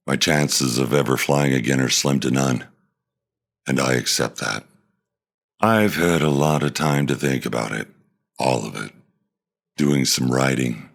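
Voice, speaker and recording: A man voicing a remorseful middle-aged former pilot in prison, confessing in a quiet, halting way with a gravelly voice. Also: very deep voice, pitched below the performer's own voice, heavily acted. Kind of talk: dramatic monologue read.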